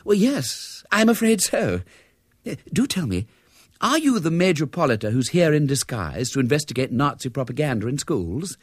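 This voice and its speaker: Man putting on a haughty, high-voiced young lady. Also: sing-song, moderate pace, no echo, clean studio sound. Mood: flustered, curious. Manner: haughty, crisp upper-class speech. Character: haughty, indignant attractive young woman, easily flustered.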